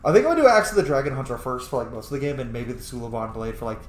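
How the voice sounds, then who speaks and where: A man giving casual, chatty let's play commentary as a gaming host, swearing freely and getting excitable. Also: mid-range voice, racing, roomy sound.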